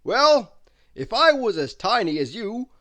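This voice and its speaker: Casting: narrator playing strong, good-natured storybook man, booming volume, hearty emphasis, pitched up. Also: big pitch swings, measured pace, pushed and bright. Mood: kindly, amused.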